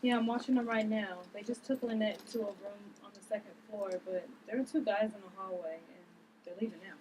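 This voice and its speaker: A woman's voice: mid-range voice, fairly even intonation, a little fast, lightly acted. A woman at a table read playing a watchful hacker who reports as the lookout. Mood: alert. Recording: distant mic, clearly noisy room.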